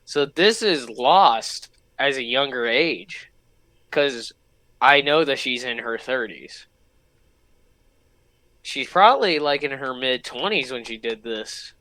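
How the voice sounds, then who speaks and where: A man's voice: medium pitch, lively intonation, takes it slowly, bright, forceful tone, no echo, rough recording. A man giving casual, chatty commentary as host of a reaction video, emphatic and repeating himself.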